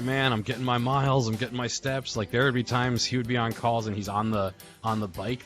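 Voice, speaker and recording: A man with a fairly low voice reminisces casually on a group call. His talk is informal and rambling, full of slang, with music playing underneath. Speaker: podcast host and audio drama group member.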